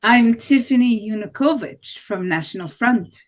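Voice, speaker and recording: Strident nationalist woman candidate, read by an actress, higher-pitched, declaiming campaign promises in a table read. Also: lively intonation, unhurried. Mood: strident, assertive. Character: hardline far-right female presidential candidate, strident nationalist. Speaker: actor in an amateur table read. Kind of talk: campaign speech read from script.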